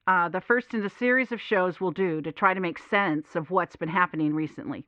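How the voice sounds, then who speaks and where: Formal radio host, dry lo-fi sound. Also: female voice, fairly low pitch, pushed and bright, no room sound. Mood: composed. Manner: measured, formal, articulate. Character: composed female radio call-in host turned newsreader. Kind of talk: radio presenting within an audio drama.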